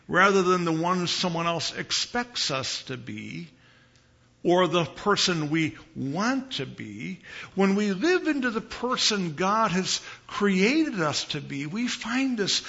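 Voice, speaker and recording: A man from Chicago preaching as a visiting pastor in a church, with a big voice and an unhurried, conversational manner, pausing and repeating phrases for emphasis. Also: sits high, creaky, sharp tone, dull audio. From Chicago, United States. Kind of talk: conversational sermon. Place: church sanctuary.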